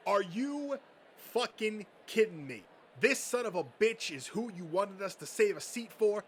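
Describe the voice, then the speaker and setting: A man's voice: high-pitched, sharp tone, slight background noise, voice pushed up, exaggerated voice. A man voicing a bitter, foul-mouthed male comic-book nerd who rants and sneers, in a comic dub. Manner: ranting, sneering, profane. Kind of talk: comic dub character acting.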